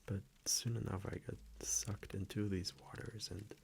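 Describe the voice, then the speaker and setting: A man playing a gentle, confiding vampire boyfriend in an intimate ASMR roleplay, speaking hushed and hesitant and often slipping into a whisper. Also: lowish voice, little pitch movement, moderate pace, gravelly, slight background noise. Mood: quietly confiding.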